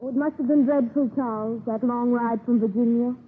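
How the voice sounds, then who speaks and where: A woman's voice: a little high, fairly even intonation, a little fast, natural voice. Affectionate young colonial lady, played by a woman, spirited, old-time radio drama, narrow-band crackly recording. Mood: concerned, affectionate. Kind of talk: 1930s radio acting.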